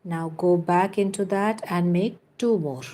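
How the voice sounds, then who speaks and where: A woman's voice: lowish voice, a little slow, dark tone. A woman hosting a live crochet tutorial, counting stitches aloud and giving patient step-by-step instructions, with chatty asides to viewers. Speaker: crochet teacher and livestream host.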